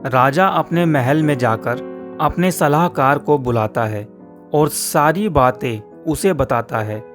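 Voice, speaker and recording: A man's voice: on the high side, takes it slowly. A man narrating a children's cartoon story in a scripted storybook style. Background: soft guitar music.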